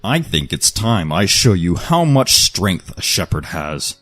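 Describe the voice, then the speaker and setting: A man's voice: sits low, sing-song, measured pace, fairly bright tone, faint music behind, exaggerated voice. A man playing a vengeful killer in a dramatized audio story, speaking with bitter, sarcastic menace. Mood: cold menace.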